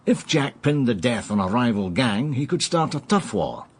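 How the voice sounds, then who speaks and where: A man's voice: mid-range voice, sing-song, normal speed, husky. An actor playing an affable, wry male investigator in a conversational radio drama. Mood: measured, explanatory.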